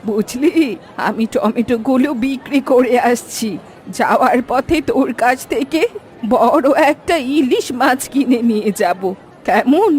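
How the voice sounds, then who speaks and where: A woman playing a brisk, bossy old village granny in a fairy-tale cartoon. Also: fairly high pitch, darkish tone, a little hiss or hum, natural voice. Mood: bossy, busy. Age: older. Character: bossy, busy old village granny who cooks hilsa.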